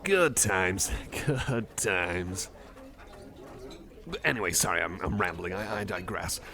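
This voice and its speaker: Man playing a gravelly, rambling nerdy cyborg. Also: low voice, lively intonation, takes it slowly, bright, forceful tone, voice pushed down, full character voice. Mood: nerdy enthusiasm. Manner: hesitant, rambling, apologetic, filler-laden. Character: shy, nerdy, eager male cyborg card collector. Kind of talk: ASMR roleplay, rambling casual chat. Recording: cafe crowd murmur behind the voice.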